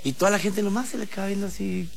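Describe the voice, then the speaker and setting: A man's voice: high-pitched, steady tone, fast, vocal fry. A man with a Mexican accent hosting a radio show, trading playful, teasing jokes and laughing often. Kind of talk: joking talk-radio banter.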